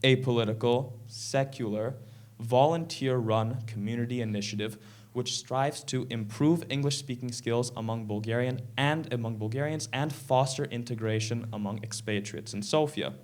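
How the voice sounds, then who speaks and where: A sixteen-year-old boy from Canada giving a prepared stage talk in an earnest, measured way, a little sick. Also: medium pitch, a little mellow. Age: teens. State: slightly sick, clearing throat. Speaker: presenter. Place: event stage.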